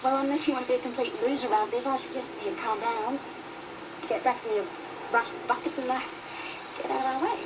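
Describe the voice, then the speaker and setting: A haughty government driver played by an actress talks down to everyone in a cool, dismissive tone. Her pitch sits slightly high. Heavy noise surrounds her. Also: steady tone, quick pace, natural voice. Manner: condescending.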